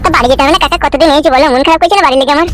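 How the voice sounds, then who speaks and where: পুরুষের করা খুব চড়া গলার কাকুতি-ভরা গ্রাম্য স্বামী. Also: male voice, extremely high pitch, racing, fairly bright tone. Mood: pleading. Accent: rural West Bengali. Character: pleading, homesick young husband, meek but foul-mouthed. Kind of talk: amateur comedy cartoon dub.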